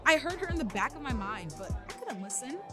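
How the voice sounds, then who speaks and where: A woman's voice: high voice, big pitch swings, fairly quick. Woman playing an anxious party girl, music behind. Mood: uneasy, dramatic. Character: anxious young woman turning into a mermaid at a party. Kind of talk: first-person horror short story reading.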